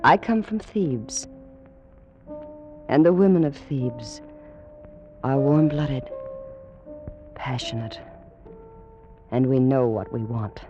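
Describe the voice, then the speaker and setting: A woman's voice: extremely low pitch, lively intonation, slow pace, long pauses, warm tone, dull audio, soft background music, full character voice. A woman acting a passionate young noblewoman of ancient Egypt in an old-time radio romance, with formal, theatrical delivery. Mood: teasing, passionate.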